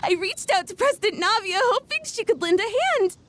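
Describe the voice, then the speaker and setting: A woman voicing an excitable young female inventor in a video game, gushing and theatrical, with formal wording. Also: extremely high pitch, a little fast. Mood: gushing, overexcited. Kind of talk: animated video game acting.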